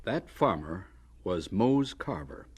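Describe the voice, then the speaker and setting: An actor playing a polite, curious narrator who puts probing questions deliberately in a radio drama. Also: male voice, deep voice, very animated, over loud music, heavily acted. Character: polite, curious male narrator interviewing an old man. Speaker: narrator and interviewer.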